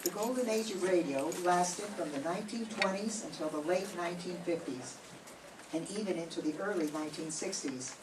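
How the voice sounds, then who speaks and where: Older woman emcee, lowish voice, measured announcing, echoey distant room, background hiss. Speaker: show emcee. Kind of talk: scripted introduction.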